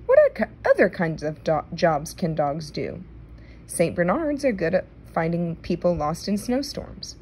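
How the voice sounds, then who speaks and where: A woman reads a children's book aloud with a slightly low voice. Her intonation rises and falls in a lively way, and she phrases things carefully. There is some faint noise in the indoor home recording. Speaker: read-aloud reader.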